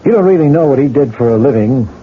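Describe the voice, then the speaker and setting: A man playing a calm police lieutenant who questions a woman bluntly about her missing husband. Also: mid-range voice, quick pace, natural voice. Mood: probing. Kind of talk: 1970s radio drama acting. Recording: dull 1970s radio recording with hiss.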